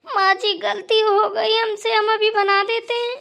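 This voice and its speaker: A meek young village wife in a cartoon household, played by a woman, with a very high, bright voice. She pleads and explains herself apologetically to her elders. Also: level tone, unhurried, vocal fry, full character voice. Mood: apologetic. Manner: pleading, excuse-making. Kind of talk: Hindi family cartoon dialogue.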